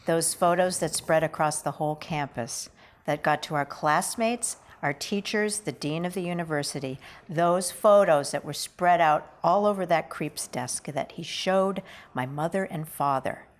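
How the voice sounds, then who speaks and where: A woman with a slightly low voice discusses a script at a table read. She is polite and a little tentative, moving between casual talk and lines she reads out. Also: measured pace.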